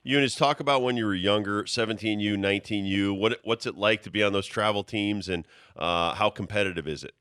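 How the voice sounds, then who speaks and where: A man's voice: on the low side, fairly quick, fairly bright tone, clear, resonant tone, no echo, clean studio sound. A male co-host on a sports talk radio show, asking casual, rambling questions.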